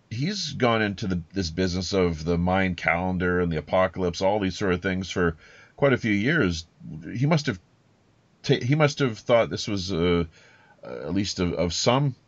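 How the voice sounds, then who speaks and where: Male podcast host, low voice, casual halting questioner, dry and slightly dull recording. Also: no echo. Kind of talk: casual conversational interviewing. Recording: dull lo-fi audio.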